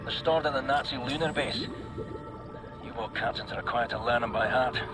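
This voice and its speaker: A man with a Scottish accent playing a gruff, sardonic, foul-mouthed resistance pilot in a video game. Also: medium pitch, normal speed, music in the background, close to an everyday voice. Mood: grim, sardonic. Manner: sarcastic, colourful swearing. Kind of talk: video game character acting.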